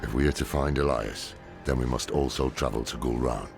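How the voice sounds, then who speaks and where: A very deep, gravelly old cynic. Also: male voice, brisk. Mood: sardonic, stern. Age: older. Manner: blunt, sardonic, weary. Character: gruff, cynical old male demon hunter. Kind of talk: dramatic video game acting. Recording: dramatic music under the voice.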